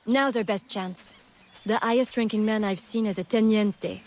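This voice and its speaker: A woman's voice: mid-range voice, fairly even intonation, normal speed, a little mellow, close to an everyday voice. A woman playing a bold, sardonic female smuggler and scout in a video game, confident and playfully wry. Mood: brisk, resolute. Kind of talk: video game character acting.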